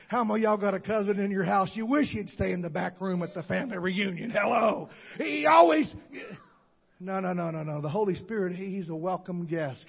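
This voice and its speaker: A man preaching a sermon, shouting with call-and-response to the congregation. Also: extremely high pitch, husky, highs cut off. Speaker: pastor. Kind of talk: Pentecostal sermon.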